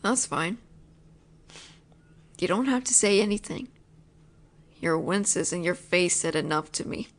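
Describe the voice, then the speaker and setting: Slow, soft-spoken young woman. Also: expressive. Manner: soft, low and lingering, slipping into whispers. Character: possessive, teasing young woman roommate. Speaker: voice actor in an audio roleplay. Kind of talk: intimate audio roleplay, speaking to a listener.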